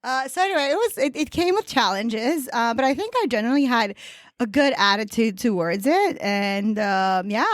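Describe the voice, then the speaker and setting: A woman's voice: mid-range voice, no echo, studio quality. A woman in her thirties from Romania with a Romanian accent, chatting as a podcast guest, laughing often and talking with many fillers and restarts. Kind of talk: casual interview chat.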